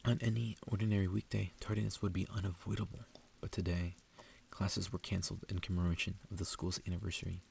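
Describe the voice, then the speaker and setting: A man reading a story aloud in a soft ASMR style, hushed and intimate, often slipping into whispers. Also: sits low, level tone, quick pace, creaky, few pauses. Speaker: ASMR reader. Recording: faint background noise.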